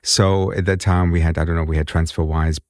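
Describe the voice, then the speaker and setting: A man in his fifties speaks with a very low voice and an accent caught between British and German. He tells his story in a measured way, often restarting and repeating words. The sound is dry and close, with no room around it. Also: flat delivery, no room sound. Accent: mixed British and German. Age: 50s. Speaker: guest. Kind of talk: conversational interview.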